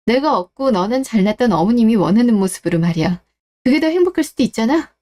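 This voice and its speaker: Radio drama wife, played by a woman: cold, sarcastic, unyielding, fairly high voice, standing her ground. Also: expressive, fast talker, warm tone, close to an everyday voice. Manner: firm, sarcastic. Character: cold, resolute, fed-up wife standing up for herself. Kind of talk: melodramatic radio drama dialogue.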